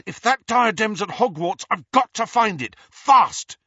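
Storybook schoolboy, played by a male reader: impassioned, pleading, urgent, raised pitch, rough-edged voice, slightly dull recording. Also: moderate pace, bright, forceful tone, dry recording, full character voice. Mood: urgent, angry. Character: desperate, impassioned teenage boy student. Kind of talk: audiobook character voice.

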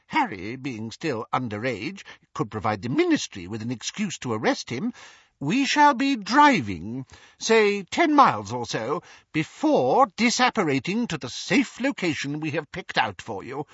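A man voicing an excitable, fussy elderly male wizard who gushes and flatters. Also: measured pace, breathy edge, no echo, highs cut off, exaggerated voice. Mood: brisk. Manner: effusive, fawning, high-pitched.

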